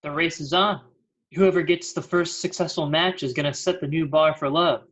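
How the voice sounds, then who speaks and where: Woman playing a low-voiced, petty schemer. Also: steady tone, normal speed. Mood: scheming, spiteful. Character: scheming, petty official rooting against a rival. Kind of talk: comedy script table read. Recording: dull lo-fi sound.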